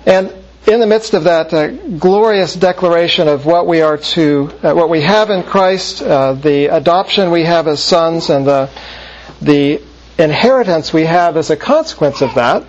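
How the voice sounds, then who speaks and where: A man teaching a Bible class, reading scripture in a measured way and then lecturing with many fillers and restarts. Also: a little high, muffled recording.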